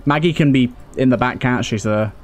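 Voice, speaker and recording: A man's voice: medium pitch, fairly quick. A man giving casual, informal let's play commentary, thinking aloud with some mild swearing. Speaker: gaming video host.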